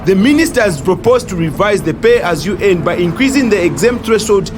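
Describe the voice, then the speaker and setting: A man's voice: sits high, lively intonation, a little fast, music in the background. A Zambian man acting in an audio drama as a confident, knowledgeable commuter who firmly corrects others. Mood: firm, informative. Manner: firm, corrective. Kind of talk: acted audio drama dialogue.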